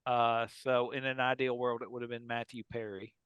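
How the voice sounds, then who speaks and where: A man's voice: mid-range voice, little pitch movement, moderate pace, a bit bright, clean tone, dry recording, muffled recording. A man hosting a podcast, chatting in a casual, joking way with the other people on the show. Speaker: podcast host. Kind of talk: casual joking panel chat.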